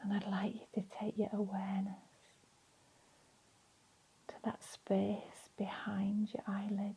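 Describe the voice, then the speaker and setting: A woman leading a guided relaxation in a soft, breathy, soothing voice, leaving long pauses between phrases. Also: mid-range voice, completely flat delivery, poor audio. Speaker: meditation and relaxation instructor.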